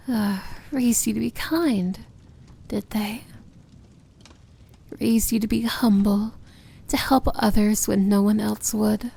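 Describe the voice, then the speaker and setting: Breathy, slow-spoken villain princess, played by a woman. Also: mid-range voice, dark tone, full character voice. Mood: soft, adoring. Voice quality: breathy, often dropping into a whisper. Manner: slow, deliberate, commanding yet tender. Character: feared demon-like villain princess, possessive, tender, in love. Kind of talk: ASMR romance fantasy roleplay, intimate address.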